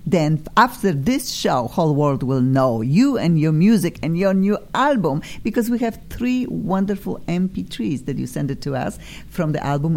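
A woman's voice: low-pitched, darkish tone. A woman with a Polish accent hosting a live radio interview show, effusive and hesitant with many fillers. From Poland.

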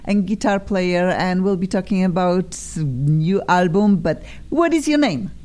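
A woman's voice: on the low side, animated, unhurried, a little mellow. Polish-accented woman hosting radio talk. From Poland. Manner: hesitant, many fillers, effusive. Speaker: radio show host. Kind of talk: live radio interview.

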